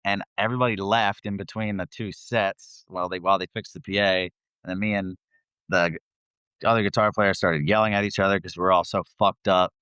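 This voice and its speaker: A man's voice: deep voice, steady tone, a little fast, sharp tone, clean tone, no echo, poor audio. A man who sings in a band, chatting as a guest and telling tour stories in a laid-back, slangy, sweary way. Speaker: band vocalist, interview guest.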